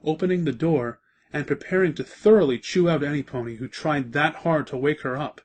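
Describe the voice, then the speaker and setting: A man's voice: a little high, a little fast, a little hiss or hum. A man reading scripted story narration as the narrator of a fan-fiction drama.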